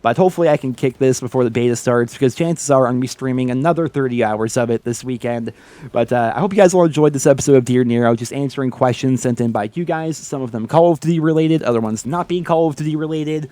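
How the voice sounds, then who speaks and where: A man's voice: medium pitch, quick pace, dark tone, dry recording. A man with a head cold and a stuffy nose, hosting a casual gaming chat where he answers viewer questions with blunt, opinionated takes. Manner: candid, opinionated, frequent "like" and "man". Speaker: gaming YouTuber host.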